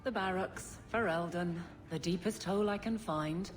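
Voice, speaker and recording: A woman's voice: on the low side, normal speed, lightly acted. A woman voicing a stern, blunt female guard captain who is awkward about romance. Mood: flustered. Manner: blunt, self-critical. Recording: game cutscene with music behind.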